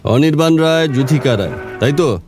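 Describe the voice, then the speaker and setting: An actor playing a courteous but suspicious local police officer in an audio drama, asking probing questions. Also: male voice, fairly high pitch, lively intonation, moderate pace, over music, close to an everyday voice. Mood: brisk, courteous. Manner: probing questions, hesitant repeated phrases. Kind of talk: Bengali audio drama acting.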